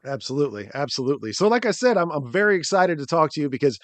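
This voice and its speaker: A man's voice: on the high side, very animated, rapid-fire, no echo. A man in his late thirties hosting a podcast, introducing a guest in an earnest, rambling way, often repeating words. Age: 30s. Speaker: podcast host. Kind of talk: casual podcast intro and chat.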